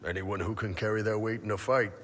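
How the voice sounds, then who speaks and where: Deep, husky mercenary captain. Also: male voice, fairly even intonation, quick pace, a bit bright. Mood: relaxed, amused. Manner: casual, dry, teasing. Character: big gruff mercenary captain, easygoing and proud. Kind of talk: video game acting. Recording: long hall reverb.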